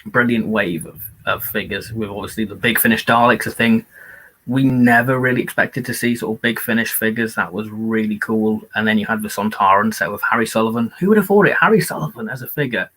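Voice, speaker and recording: A man hosting a live-stream and chatting casually about his collection. He rambles enthusiastically with many fillers and is losing his voice, so he sounds a bit hoarse. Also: lowish voice, a little fast, fairly bright tone, poor audio.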